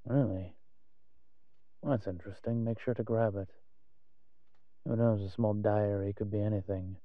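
A man playing a wry, cautious vampire father in an audio roleplay, speaking in a measured, musing way to the listener. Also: lowish voice, steady tone, no room sound, phone-quality sound, lightly acted. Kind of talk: scripted audio roleplay acting addressed to the listener.

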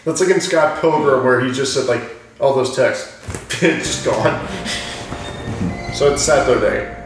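A man's voice: mid-range voice, unhurried. A man doing casual, joking gaming commentary with rambling asides. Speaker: let's play host. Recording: roomy echo, distant mic, music behind. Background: game music.

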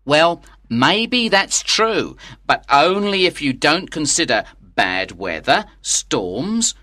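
An actor playing a sceptical, smugly amused English club gentleman who goads theatrically in a dramatized audiobook. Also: a little high, big pitch swings, heavily acted. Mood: mocking, challenging. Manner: mocking, drawn-out, theatrical.

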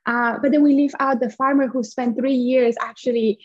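Woman from Colombia, fairly high voice, earnest, muffled video call audio. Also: steady tone, normal speed. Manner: earnest, talks with her hands. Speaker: guest, coffee company founder. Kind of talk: remote interview. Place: small bright room at home.

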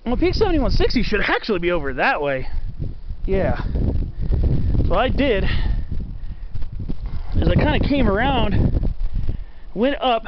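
A man giving casual, unscripted commentary on a hike, halting and thinking aloud as he goes. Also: high voice, very animated. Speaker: hiker narrating his own hike. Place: outdoors on a forested mountain slope. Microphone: handheld camera mic. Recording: muffled camera audio, loud wind noise.

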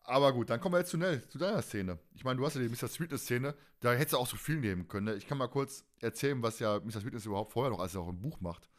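A man's voice: mid-range voice, lively intonation, racing, hardly any pauses. A man hosting a podcast in casual, colloquial, jokey chat. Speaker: podcast host.

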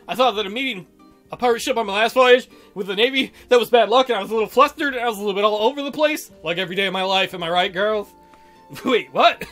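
A man's voice: high-pitched, a bit bright. A man reading a visual novel aloud as a let's-play, joking and theatrical with playful commentary. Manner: joking, theatrical, often giggling. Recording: music under the voice.

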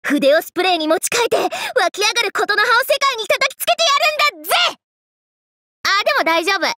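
A woman's voice: very high-pitched, sing-song, fast, pushed and bright, a little hiss or hum, full character voice. A woman voicing a brash, playful, hyper young woman from an anime game, with cocky, slangy, teasing delivery. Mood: excited, boastful. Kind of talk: Japanese anime game character voice lines.

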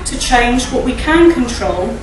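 A middle-aged woman with a croaky voice speaks at a church service from a lectern mic, chatty and often asking the audience tag questions. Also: a little high, lively intonation, a little slow, noisy background. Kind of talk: informal talk at a church service. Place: church hall, indoors. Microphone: standing mic at a lectern, filmed by a far camera. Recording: echoey hall, recorded from a distance.

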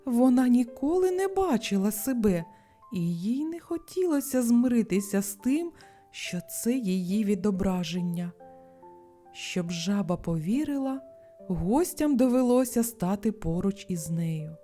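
A woman's voice: mid-range voice, expressive, unhurried, mellow. A woman storyteller narrating a bedtime fairy tale expressively for children. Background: music.

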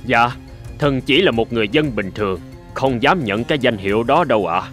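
A man's voice: mid-range voice, animated, a little fast, a bit bright, faint music behind, natural voice. A man playing a humble, courteous wise man in a cartoon folk tale. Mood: deferential.

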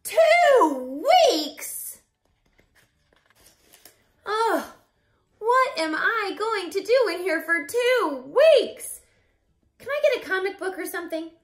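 A woman's voice: very high-pitched, sing-song, slow, pauses often, reverberant, pitched above the performer's own voice, heavily acted. A woman voicing a storybook caterpillar, male, impatient and melodramatic, exclaiming and whining. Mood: bored, whiny. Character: frantic, impatient, dramatic young male caterpillar, whiny and overeager.

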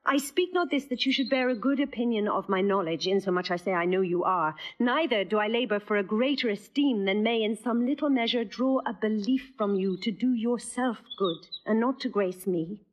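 A woman's voice: medium pitch, close to an everyday voice. An actress playing a witty, playful young noblewoman in disguise, in classical stage verse. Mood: earnest. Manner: quick, teasing, bold. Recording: dull lo-fi audio.

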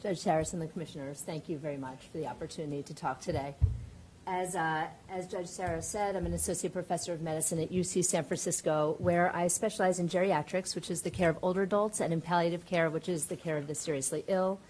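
A woman testifying as a medical expert at a public hearing, delivering prepared remarks in a measured, precise way. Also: lowish voice, fairly even intonation, a little mellow, slight background noise, poor audio. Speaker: expert witness, professor of medicine. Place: hearing room.

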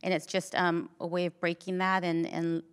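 A middle-aged woman talks casually in an interview, earnest and a little halting. Her voice is on the low side and stays very level, picked up by a handheld mic. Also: little pitch movement, clear, resonant tone. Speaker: church recovery program leader. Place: indoors, dim church stage. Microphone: handheld microphone.

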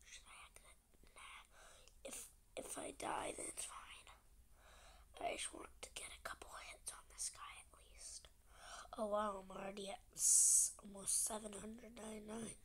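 A young gamer with a stuffy, congested nose, chatting casually and often half-whispering while playing a game. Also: female voice, medium pitch, level tone, normal speed, a bit bright. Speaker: gaming channel host. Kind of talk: casual gameplay commentary.

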